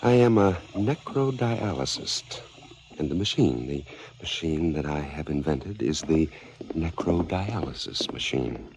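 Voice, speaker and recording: An actor playing a weary, disgraced professor turned sinister inventor, speaking with measured deliberation in a noir radio drama. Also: male voice, extremely low pitch, expressive, background noise. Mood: brooding, confessional. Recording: 1970s stereo radio drama, music underneath. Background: music.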